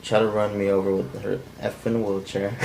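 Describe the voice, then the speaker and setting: A man's voice: lowish voice, moderate pace, vocal fry, slight background noise, poor audio. A man reading the part of a menacing, bitter drifter in an unpolished script table read. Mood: bitter, resentful. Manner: flat, unpolished amateur line reading. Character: menacing, bitter, down-on-his-luck male drifter intruder.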